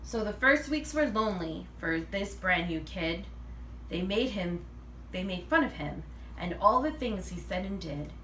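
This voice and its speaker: A woman reads a children's picture book aloud in an expressive, animated way, acting out the dialogue. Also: lowish voice, a little slow. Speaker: read-aloud reader. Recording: roomy, distant mic, faint noise.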